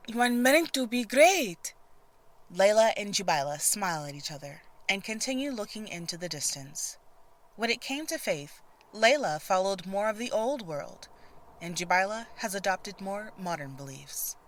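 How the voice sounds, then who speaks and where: A woman's voice: medium pitch, expressive, moderate pace, slight background noise. A woman narrating a scripted audio drama as a dramatic storyteller.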